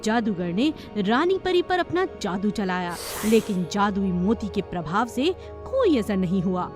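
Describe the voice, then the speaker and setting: A woman reading scripted narration for a children's animated story. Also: mid-range voice, unhurried, music in the background.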